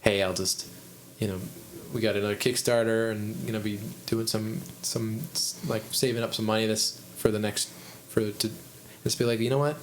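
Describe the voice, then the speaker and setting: A man's voice: on the low side, fairly even intonation, normal speed, slight background noise, poor audio. A man with a Midwestern American accent, hosting a podcast and chatting casually, rambling and restarting his sentences as he thinks aloud. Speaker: podcast host, musician. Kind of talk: casual chat.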